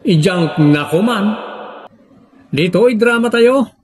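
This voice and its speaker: A man with a Filipino accent announcing an audio drama in a scripted, theatrical, drawn-out style. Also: high-pitched, lively intonation, husky. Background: music.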